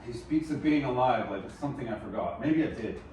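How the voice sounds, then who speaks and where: A man's voice: medium pitch, a little fast, darkish tone, close to an everyday voice. A man playing a broken, grieving musician in a screenplay table read, brooding and subdued. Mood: bitter grief. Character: Beric, broken, haunted, grieving male musician. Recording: distant, echoey room with clear noise.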